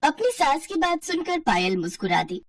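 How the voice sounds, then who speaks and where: A woman's voice: high-pitched, very animated, moderate pace, no room sound, far from the mic. A woman narrating a scripted story in a dramatic storytelling style.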